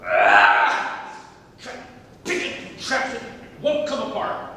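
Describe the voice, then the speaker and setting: A man playing a brisk, mildly exasperated theater set builder in a stage comedy, with a curt manner. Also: huge pitch swings, pushed and bright, exaggerated voice. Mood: gruff, friendly. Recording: echoey theater, distant mic, rumbling noise.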